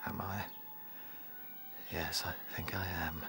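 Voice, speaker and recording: A man playing an aged, kindly, wise rabbit chief in a radio drama, speaking in a fatherly, measured way. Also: sits low, level tone, over music. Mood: wondering, accepting.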